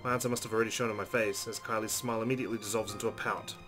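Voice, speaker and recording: A man's voice: on the low side, steady tone, very fast, over music. A man hosting a let's play, reading visual novel narration aloud and adding casual commentary.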